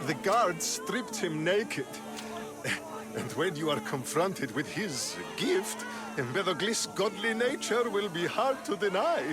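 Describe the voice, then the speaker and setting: An excitable devotee in a game, eager and pleading. His voice is high and bounces around a lot. Loud music plays over the scene. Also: slow. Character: excitable devoted male follower of a cult.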